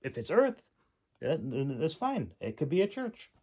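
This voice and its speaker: A man giving an informal, unscripted critique in a halting, hesitant way, with many pauses and repeated words. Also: a little high, big pitch swings, a little mellow, breathy edge. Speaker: audiobook narrator and manuscript critic.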